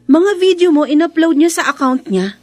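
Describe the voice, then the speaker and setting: A doting young Filipino girlfriend in a radio drama. Her voice is very high and swings widely in pitch, expressive and attentive to her man. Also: normal speed. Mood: concerned, helpful.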